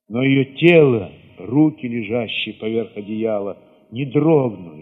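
A man with a husky voice reads a literary first-person story theatrically, moving between hushed passages and sudden swells. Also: mid-range voice. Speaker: narrator reading an audio play. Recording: reverberant, slightly dull sound.

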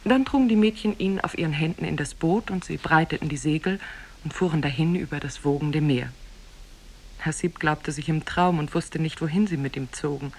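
An actress as the narrator of an Arabian fairy tale, grave and measured in 1950s radio storytelling style. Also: female voice, sits low. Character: solemn female teller of an Arabian Nights tale. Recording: 1950s radio recording from cassette.

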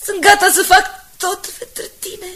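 A woman playing a distraught, devoted wife in a radio drama, crying and pleading in a breathy voice that often sinks to a whisper. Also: very high-pitched, level tone, pushed and bright. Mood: tearful, pleading. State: tearful, crying. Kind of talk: 1980s Romanian radio drama acting. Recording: slightly noisy old radio recording.

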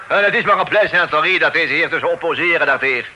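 An actor playing a pompous, easily flustered deputy sheriff who talks ornately and officiously, with French words mixed in. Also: male voice, fairly high pitch, fast talker, bright, forceful tone. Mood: flustered, insistent. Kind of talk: radio play acting. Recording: noisy old radio drama recording.

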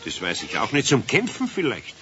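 An actor playing an older craftsman in a children's radio play, with a Bavarian accent and a homely, good-natured, gently grumbling manner. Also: mid-range voice, huge pitch swings, a little fast. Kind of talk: 1970s German children's radio play acting. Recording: muffled old 1970s radio recording, noisy animal ambience.

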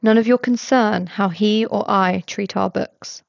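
A woman voicing a sour, deadpan young man. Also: medium pitch, measured pace, creaky, no echo, muffled recording. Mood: dry, irritated. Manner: flat monotone, biting. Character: irritable dry-toned young man.

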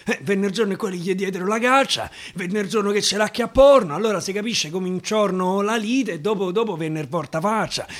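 A man with a Roman accent, an actor reciting a dialect verse story in an expressive, dramatic radio-theatre style. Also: high-pitched, fairly quick, hardly any pauses. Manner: expressive, dramatic, swinging from declaiming to hushed asides. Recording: guitar music under the voice. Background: acoustic guitar.

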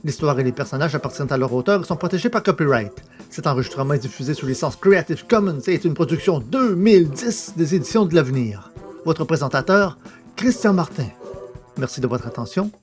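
A man's voice: fairly high pitch, animated, fairly quick. A man presenting an audio drama, reading out scripted credits as announcer. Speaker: presenter and author. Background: music.

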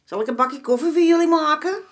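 Playful, hospitable old auntie played by an actress. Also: female voice, high-pitched, fast talker, a little hiss or hum, close to an everyday voice. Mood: playful, welcoming. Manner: playful, familiar, motherly. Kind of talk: naturalistic radio play dialogue.

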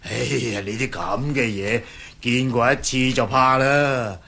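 An actor playing a timid old male housekeeper in a Cantonese radio drama, whining, sighing and fussing in a husky voice. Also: mid-range voice, unhurried, bright, forceful tone. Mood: grumbling, fearful.

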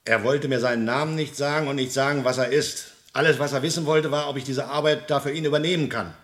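Male actor as gruff museum restorer, middle-pitched, sardonic, brusque, wary, old crime radio drama. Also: fairly even intonation, quick pace, fairly bright tone, natural voice. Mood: wary, insistent. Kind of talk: vintage German crime radio play acting.

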